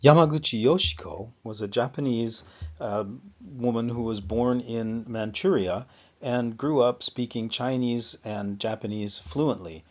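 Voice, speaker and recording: A man giving an unscripted lecture on music history, often pausing with fillers and correcting himself. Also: mid-range voice. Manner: halting, frequent fillers and self-corrections. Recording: slightly dull lo-fi sound.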